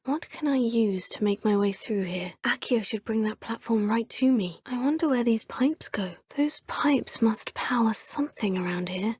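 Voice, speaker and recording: A woman's voice: a little high, a little mellow, husky, no echo, studio quality. A woman voicing a young, polite student witch who thinks aloud in a formal way. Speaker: player character. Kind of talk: video game voice lines.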